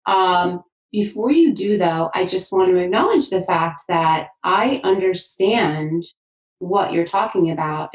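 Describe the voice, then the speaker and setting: A woman hosting a podcast, affirming her guest in an enthusiastic, conversational way. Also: lowish voice. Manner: enthusiastic, affirming, fast bursts. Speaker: podcast host. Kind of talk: conversational interview. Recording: roomy sound from a distant mic.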